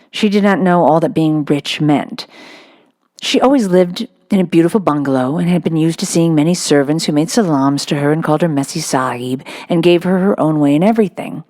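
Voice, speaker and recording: A woman with a low voice narrates a children's book. Her delivery is scripted storytelling, read aloud for young listeners. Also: mellow.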